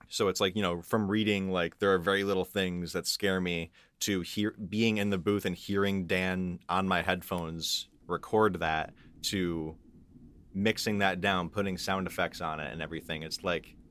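A man's voice: low-pitched, fairly bright tone. A man talking casually and reflectively as a podcast audio engineer, informal and rephrasing as he goes.

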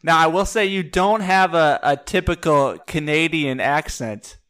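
Guy hosting a podcast, kinda high voice, smooth and crisp, dry close mic, jokey and upbeat. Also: fairly bright tone, dry recording. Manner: upbeat, joking, quick questions. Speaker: podcast host. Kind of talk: casual trivia podcast chat.

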